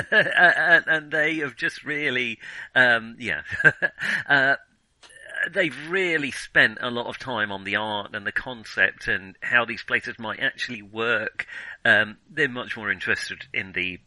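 Bright, crisp-edged man chatting about film. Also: medium pitch, takes it slowly, dry recording, poor audio. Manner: hesitant, self-correcting, circling back to rephrase. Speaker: film discussion podcast co-host. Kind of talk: casual film review chat.

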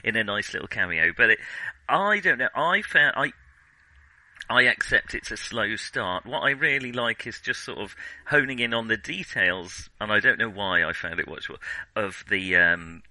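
A man's voice: lowish voice, lively intonation, moderate pace, pushed and bright, no echo, poor audio. A man co-hosting a film podcast in casual discussion, meandering and correcting himself as he feels his way through his thoughts. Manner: hesitant, meandering, self-correcting.

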